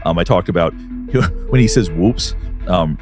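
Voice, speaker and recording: A man in a casual discussion, speaking in a halting way and restarting his sentences. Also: low voice, lively intonation, over music.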